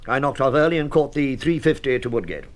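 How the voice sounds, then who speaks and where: An actor playing a defensive, irritable middle-aged British commuter husband in a radio drama, curt and evasive in manner. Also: male voice, medium pitch, lively intonation, quick pace, close to an everyday voice.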